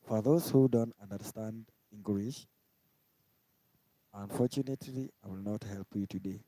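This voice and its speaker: A man from Kenya with a Kenyan accent, giving a casual language lesson and slowly repeating each word. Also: on the low side, dark tone, no room sound, poor audio. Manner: slow, repetitive, spells out each word twice. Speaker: language teacher. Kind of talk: informal live-stream language lesson.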